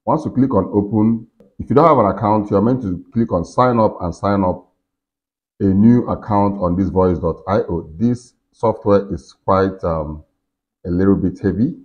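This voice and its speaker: A man in his forties with a low voice talks through a software tutorial in a casual way. He guides himself and the listener step by step. The recording is slightly dull and lo-fi. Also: normal speed. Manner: talking himself through each on-screen step. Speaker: tutorial host. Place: indoors in a furnished room.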